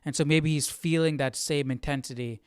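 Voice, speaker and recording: A man's voice: a little high, fairly even intonation, normal speed, a little mellow, smooth. A man hosting a livestream Bible study with casual, reflective commentary, thinking aloud and often checking in with his listeners.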